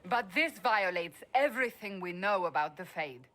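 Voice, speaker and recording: A woman's voice: mid-range voice, lively intonation, moderate pace, fairly bright tone, close to an everyday voice. A woman playing a formal, suspicious adviser in a fantasy game, haughty and precise. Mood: disapproving. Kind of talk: fantasy game dialogue acting.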